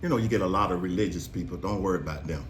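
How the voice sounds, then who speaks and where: A middle-aged father from Carolina, telling family stories in a slow, easygoing, preacherly way. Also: low-pitched. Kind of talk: casual chat. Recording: echoey room, faint noise.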